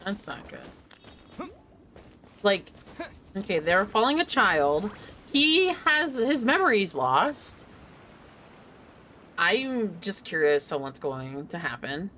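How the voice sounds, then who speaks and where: A woman giving casual, chatty live commentary as she plays a game, rambling along loudly. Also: medium pitch, sing-song, takes it slowly, pauses often, a little hiss or hum. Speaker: game streamer. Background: game music.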